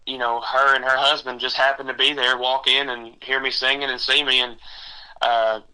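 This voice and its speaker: Bright-voiced young man. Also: medium pitch, flat delivery, smooth, poor audio. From Texas. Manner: halting, rambling with many fillers. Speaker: country singer guest. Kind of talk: casual interview chat.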